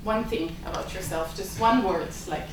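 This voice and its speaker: A woman's voice: on the low side, normal speed, noisy background. A woman whose first language is Italian, giving hesitant opening remarks at an academic symposium, with many filler sounds. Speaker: symposium host and lab director. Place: university seminar room. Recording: echoey lecture room, mic far off.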